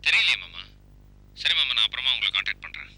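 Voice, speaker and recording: An actor playing an earnest film director and husband in a TV serial. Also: male voice, medium pitch, sing-song, fast, vocal fry, pushed and bright, exaggerated voice. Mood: anxious, polite. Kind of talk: Tamil TV serial acting. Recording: music over the dialogue.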